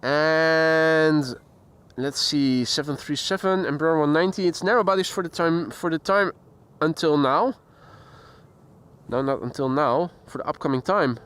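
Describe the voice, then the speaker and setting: A man's voice: fairly high pitch, a little slow, a bit bright, smooth. A man hosting a casual live stream outdoors, chatting with viewers and greeting them by name. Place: outdoors near an airport. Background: faint outdoor traffic and voices.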